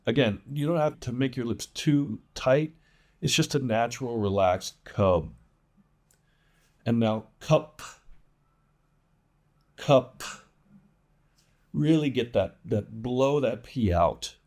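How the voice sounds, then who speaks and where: A man giving an English pronunciation lesson as a teacher, enunciating example words with deliberate emphasis. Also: medium pitch, lively intonation, a little mellow. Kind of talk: instructional lesson with demonstrated words.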